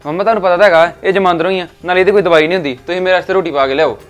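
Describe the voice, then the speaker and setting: A man plays a brother in a comedy sketch who mocks his stuttering sibling and hurries everyone along. He talks very fast at a raised pitch, with faint music underneath. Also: fairly even intonation, lightly acted. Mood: teasing. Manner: mocking, impatient. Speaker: son. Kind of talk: village comedy sketch acting.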